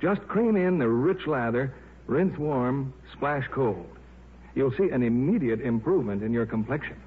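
A man's voice: medium pitch, animated, moderate pace, a little hiss or hum. A man with an American accent reading a scripted 1950s radio advertisement as announcer. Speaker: commercial announcer. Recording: muffled thin 1950s radio recording.

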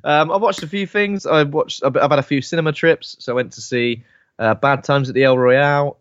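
A man with a British accent, co-hosting a podcast in relaxed chat, joking, laughing often and repeating words as he thinks. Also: on the high side, brisk, smooth, dry recording, dull audio. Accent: British English. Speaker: podcast co-host. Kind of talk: casual chat.

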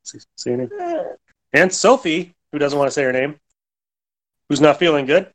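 A man's voice: a little high, normal speed. A man hosting a podcast, giving a casual, informal introduction. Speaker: podcast host.

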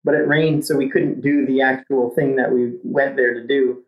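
A man talking as a podcast guest in a relaxed, easygoing way. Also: medium pitch, moderate pace, lo-fi sound. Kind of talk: casual conversation. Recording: echoey room.

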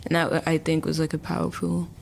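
A woman's voice: deep voice, monotone, brisk, creaky, darkish tone, a little hiss or hum. A woman in her early twenties, a chatty interview guest who talks casually with many fillers and restarts. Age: early 20s. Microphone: remote call.

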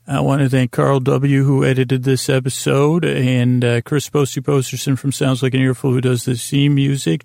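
A man with a gravelly, creaky voice hosts a bedtime show in a calm, lulling manner. His delivery stays flat and even as he meanders, hesitates and doubles back on his phrases. The sound is dry, with no room around it. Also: mid-range voice, dry recording. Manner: hesitant, halting, circles back and repeats himself. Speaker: host of a sleep podcast. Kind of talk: meandering, rambling bedtime monologue.

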